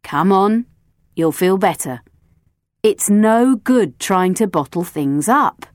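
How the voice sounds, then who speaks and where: A woman's voice: mid-range voice, lively intonation, takes it slowly, dry recording, clean studio sound. A woman voicing a sarcastic, firm adult woman who is a family friend. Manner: sarcastic, stern.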